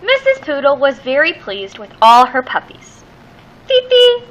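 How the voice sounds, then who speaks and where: A woman reading a children's picture book aloud expressively, with theatrical, drawn-out emphasis on key words. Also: high-pitched, wildly swooping pitch, highs cut off.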